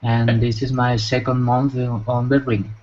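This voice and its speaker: A man's voice: mid-range voice, level tone, a little slow. A man from Spain with a Spanish accent, introducing himself politely in simple learner English on a video call. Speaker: student. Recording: muffled call with clear background noise.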